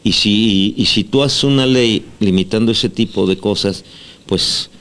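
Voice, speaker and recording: A man's voice: lowish voice, unhurried, a bit bright. A man with a Mexican accent co-hosting a radio show, explaining at length and checking that he is followed. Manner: explains at length, asks for agreement. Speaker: radio co-host. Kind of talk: casual radio talk.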